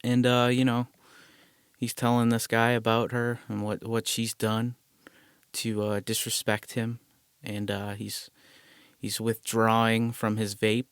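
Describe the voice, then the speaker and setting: A man talks alone into a close podcast mic, rambling and profane, poking fun at himself as he goes. His voice sits fairly low and comes through smooth and resonant. The room is dead and dry, with no echo at all. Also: completely flat delivery, unhurried. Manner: rambling, profane, self-deprecating asides. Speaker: podcast host. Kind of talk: casual solo podcast monologue.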